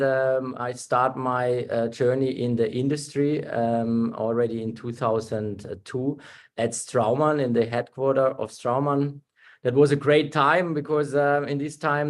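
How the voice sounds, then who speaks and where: A man from Germany, a German native speaker, giving a webinar presentation as a dental technician, talking in halting English with many fillers and restarts over a computer mic. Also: medium pitch, a little mellow.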